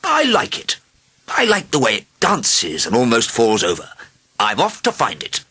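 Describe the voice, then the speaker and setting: A man's voice: medium pitch, huge pitch swings, moderate pace, sharp tone, slightly rough, no room sound, clean studio sound, exaggerated voice. A man voicing an eager, rebellious little king in a storybook, full of enthusiastic exclamations.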